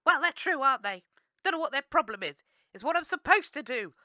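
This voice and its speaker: A woman's voice: high-pitched, very animated, a little fast, bright, forceful tone, no room sound, studio quality, heavily acted. A woman with a British accent playing a brazen, unapologetic fortune teller in a radio comedy. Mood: blunt, unapologetic. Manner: matter-of-fact, unapologetic. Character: brazen, blunt post-apocalyptic female fortune teller. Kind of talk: comic radio acting.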